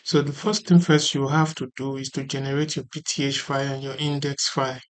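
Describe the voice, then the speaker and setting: A tutorial host explaining software step by step in an informal way, repeating points and often checking that the listener follows. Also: mid-range voice, flat delivery, brisk. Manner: repetitive, often checking for understanding.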